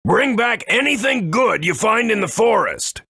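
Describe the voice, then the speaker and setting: A man voicing a gruff, good-natured working man in a video game, with a creaky voice. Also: high-pitched, sing-song, measured pace, sharp tone, no room sound, clean studio sound, full character voice. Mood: friendly, bossy. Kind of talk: video game character acting.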